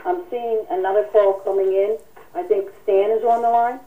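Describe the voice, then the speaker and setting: A woman hosting a telephone town hall as a union president, speaking formally and politely with halting delivery while taking callers. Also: medium pitch, fairly even intonation, narrow, tinny audio, slight background noise. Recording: distant radio-like telephone conference audio. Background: telephone conference call.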